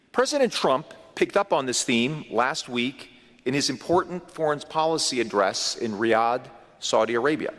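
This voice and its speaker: A man delivers a prepared speech from a podium in a large conference hall, pacing it with deliberate pauses. His pitch moves widely as he stresses key points, and the long reverb of the room trails after each phrase. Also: a bit bright. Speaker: U.S. official giving a speech.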